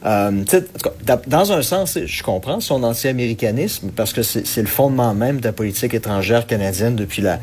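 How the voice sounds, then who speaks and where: A man's voice: medium pitch, expressive, fairly quick, a little mellow, a little hiss or hum. A man with a Québécois French accent giving political commentary, earnest and analytical with frequent hesitations. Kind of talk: radio commentary segment.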